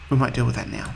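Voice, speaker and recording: A man hosting a video game let's-play in a casual, rambling way, slightly husky from a cold. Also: mid-range voice, background noise. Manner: rambling, offhand, chatty.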